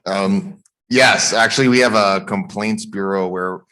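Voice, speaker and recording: A man from a prosecutor's office answering questions at a community forum in an earnest, measured way, with many fillers and restarts. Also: lowish voice, fairly even intonation, bright, forceful tone. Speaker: district attorney's office official.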